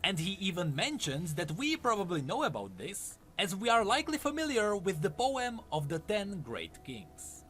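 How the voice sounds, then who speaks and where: A man's voice: sits high, wildly swooping pitch, moderate pace, few pauses, fairly bright tone. A man delivering scripted lore narration in an explanatory, storytelling way. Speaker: video essay narrator.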